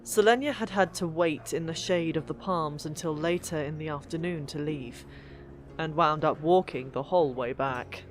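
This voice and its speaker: A woman narrating a fantasy audiobook in an expressive, deliberate storytelling manner. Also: low-pitched. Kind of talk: dramatic scripted fiction narration. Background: background music.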